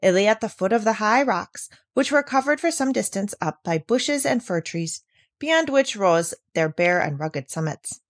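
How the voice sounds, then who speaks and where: A woman reading a classic children's novel aloud, with measured, expressive delivery. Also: mid-range voice, dry recording, clean studio sound.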